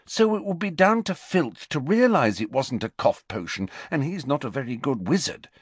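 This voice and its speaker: Know-it-all teenage girl as read by a male narrator, lecturing, lively, close. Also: mid-range voice, breathy edge, no echo, pitched above the performer's own voice. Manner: brisk and lecturing. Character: clever, bossy teenage schoolgirl, scornful.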